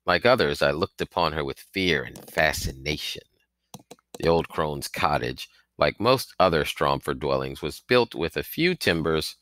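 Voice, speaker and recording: A man's voice: a bass voice, animated, a little slow, a bit bright. A man reading a novel aloud as scripted audiobook narration, acting out its characters. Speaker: reader of a children's novel.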